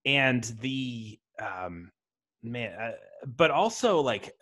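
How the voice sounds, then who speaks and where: A man's voice: mid-range voice, big pitch swings, drawn-out words, a bit bright. A man hosting a podcast interview, talking conversationally, asking open questions and dropping jokey asides. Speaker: podcast host. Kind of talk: casual interview chat.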